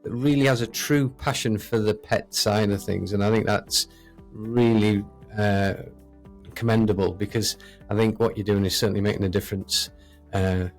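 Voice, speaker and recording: A man hosts a casual interview in a fairly low voice, echoing and affirming what his guest says. Faint music sits behind him. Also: fairly even intonation, moderate pace. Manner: warmly affirming, repeats himself. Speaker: podcast host.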